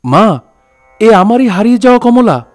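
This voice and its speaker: A man's voice: high voice, big pitch swings, moderate pace, soft background music. A man acting an earnest, devoted young doctor and son in an audio drama, with a hesitant, emotional manner. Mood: urgent, emotional.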